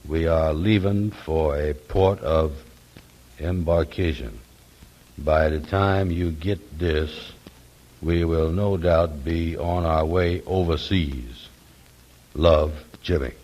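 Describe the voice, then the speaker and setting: An actor playing a slow-talking folksy man with nonstandard dialect grammar in 1940s radio drama style. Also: extremely low pitch, steady tone, a little mellow. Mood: sad, proud. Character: Andy, sad but proud slow-talking man.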